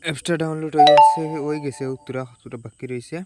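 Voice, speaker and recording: The Sylheti man on a small how-to channel, rattling through tap-by-tap instructions in an even, unvarying voice. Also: on the high side, racing, over loud music. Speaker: tech vlogger giving a phone tutorial. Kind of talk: casual step-by-step tutorial.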